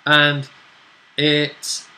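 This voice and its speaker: A man's voice: fairly high pitch, completely flat delivery, very slow, sharp tone. A man acting as game master, casually recapping a tabletop role-play session and checking in with his player as he goes. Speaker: game master and host of the vidcast. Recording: faint background noise.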